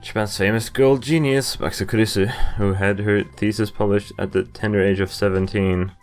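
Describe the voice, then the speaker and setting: A man's voice: fairly low pitch, steady tone, moderate pace, faint music behind. A man in his twenties hosting a playthrough, reading game narration aloud with casual asides. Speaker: gaming video host. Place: indoor room, webcam in corner.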